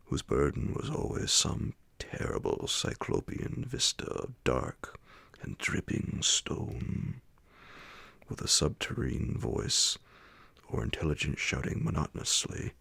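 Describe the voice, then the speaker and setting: Very deep, gravelly male ASMR narrator. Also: dry recording. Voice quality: gravelly, breathy, partly whispered. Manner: hushed, slow, deliberate phrasing. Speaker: narrator reading classic horror fiction aloud. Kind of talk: ASMR audiobook bedtime story narration. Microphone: close, dry microphone.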